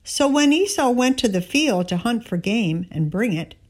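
A woman giving a scripted Bible reading, narrating and voicing the dialogue in deliberate, measured phrasing. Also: fairly high pitch, animated. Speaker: scripture reader.

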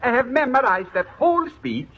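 A man's voice: very high-pitched, big pitch swings, moderate pace, exaggerated voice. A man playing an eager, polite Swedish immigrant pupil in an old radio comedy, speaking formally and hesitantly with a Swedish accent. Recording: dull vintage radio recording.

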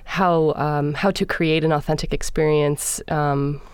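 A woman singer in a radio interview, speaking thoughtfully and pausing often to find her words. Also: low-pitched, steady tone, darkish tone, smooth, dry recording. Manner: thoughtful, searching for words with many fillers. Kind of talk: interview conversation.

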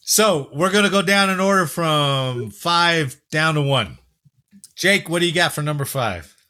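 A man from New Jersey hosting a casual news chat, jokey and quick to laugh. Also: fairly high pitch, animated, sharp tone. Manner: jokey, laughs often, tells stories. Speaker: podcast host.

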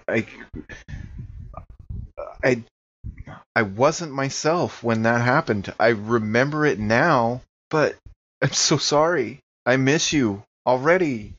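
A man's voice: medium pitch, slow pace, a bit bright. A man playing a tabletop game, talking casually and partly in character, on slightly dull audio.

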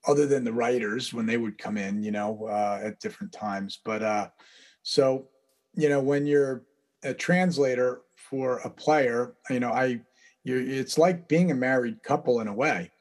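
A man as a podcast guest, telling long stories in a relaxed, rambling way with frequent laughs. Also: medium pitch, measured pace. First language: English. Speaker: guest, baseball interpreter. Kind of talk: casual storytelling interview.

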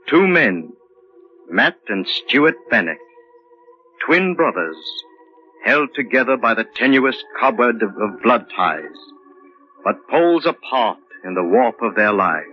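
An actor playing the solemn, mysterious storyteller of a 1940s radio drama, narrating in a portentous, ornate manner. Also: male voice, mid-range voice. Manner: portentous, measured, ornate delivery. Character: solemn, mysterious male storyteller of eerie tales. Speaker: narrator and host. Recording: dull vintage radio recording with music.

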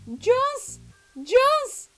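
A man's voice: extremely high pitch, sing-song, very slow, bright, forceful tone, soft background music, pitched above the performer's own voice, full character voice. A man voicing an overexcited sultry woman in an exaggerated squeal for a comic radio play. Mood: squealing excitement.

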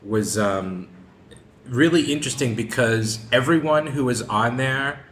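A man leads a group discussion as its host, speaking in an informal, conversational way. His voice sits at a middle pitch in a roomy, echoing space with faint noise behind it. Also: measured pace, a bit bright. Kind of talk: casual group discussion moderating.

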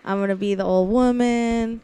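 A woman's voice: a little high, fairly even intonation, normal speed, dark tone, clean tone. A woman hosting a podcast, talking casually with the cast of a radio play. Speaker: podcast host. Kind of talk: casual group chat and casting announcements.

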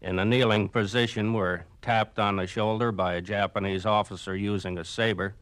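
Guy from brooklyn as old radio interview guest, lowish voice, careful formal wording, sounds scripted. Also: steady tone, a bit bright, no echo. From Brooklyn, New York. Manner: measured, precise, formal. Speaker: interview guest, former prisoner of war.

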